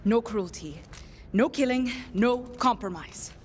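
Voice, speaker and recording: A woman playing an idealistic, scatterbrained young scientist in a video game, earnest, excitable and given to questioning herself. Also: a little high, a little hiss or hum. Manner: rambling, self-questioning.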